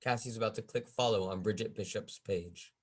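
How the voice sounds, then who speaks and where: A man's voice: on the low side, brisk. A man reading stage directions as the narrator of a script table read.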